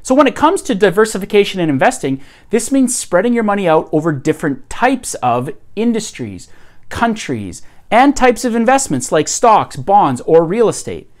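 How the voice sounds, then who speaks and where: A man's voice: high voice, big pitch swings, moderate pace. A man in his thirties hosting a scripted finance explainer, upbeat and emphatic, filmed at home in front of bookshelves. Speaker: host.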